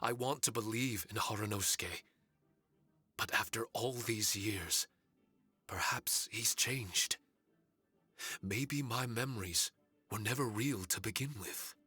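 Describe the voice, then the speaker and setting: Solemn shikigami warrior, lowish forceful voice, slightly rough, formal, courteous, earnest, anime game dialogue. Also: male voice, slow pace, long pauses, dry recording, full character voice. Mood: solemn, resolute. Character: solemn, courteous male shikigami spirit warrior with fragmented memories. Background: faint music.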